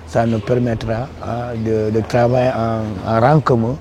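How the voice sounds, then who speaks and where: A man with a Senegalese accent giving a formal, somewhat halting testimonial in an interview. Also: mid-range voice, level tone, background noise. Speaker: local workshop participant.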